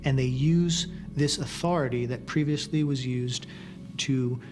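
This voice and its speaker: An American man with a medium-pitched voice gives an explanatory interview for a documentary. There is clear music running under his speech. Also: a little slow. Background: background music.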